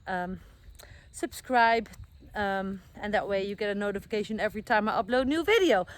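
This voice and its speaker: A woman's voice: a little high, fairly bright tone, no echo. Chatty Dutch woman vlogging outdoors. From Netherlands. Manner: chatty, self-correcting, many fillers. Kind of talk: casual talk to camera. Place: outdoors, garden with trees being planted.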